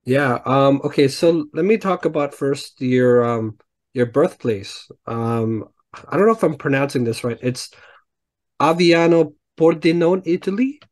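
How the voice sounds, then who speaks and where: A young man hosting a podcast interview from home on a headset, speaking haltingly with many restarts. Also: medium pitch, darkish tone. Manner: hesitant, many fillers and restarts. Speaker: podcast host. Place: home room, indoors. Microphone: headset on video call.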